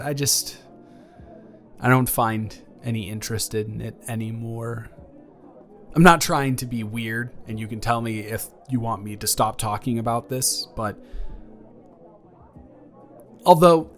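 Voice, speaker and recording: Male actor as awkward, gentle college ex-boyfriend for audio roleplay; average-pitched, halting, earnest, music underneath. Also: natural voice. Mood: awkward, flustered. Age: young adult. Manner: hesitant, stammering, rambling. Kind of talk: improvised intimate boyfriend roleplay.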